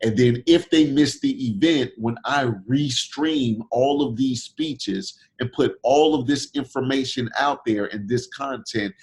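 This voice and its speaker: A man hosting a group video call for speakers, pitching his event persuasively, repeating and restarting phrases while he recovers from illness and is losing his voice. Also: unhurried, few pauses.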